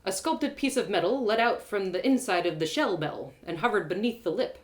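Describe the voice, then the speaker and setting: A woman reading her own audio drama aloud live, with an expressive delivery, often laughing at her own lines. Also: medium pitch, a little fast. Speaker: storyteller.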